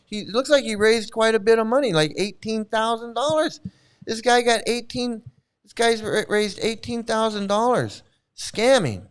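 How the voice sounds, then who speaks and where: A middle-aged man rants in loose commentary, scornful and profane, circling back to the same words again and again. His voice sits high for a man and comes through smooth and clear. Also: expressive, normal speed. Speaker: commentary channel host. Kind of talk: casual ranting commentary.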